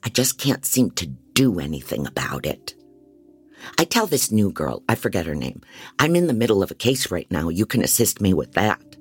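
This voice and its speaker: An actress playing a scattered, jaded woman private detective who narrates bluntly in a deep, husky voice, as in a noir audio drama. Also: sing-song, moderate pace, faint music behind, exaggerated voice. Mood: weary, frank. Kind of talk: first-person scripted noir narration.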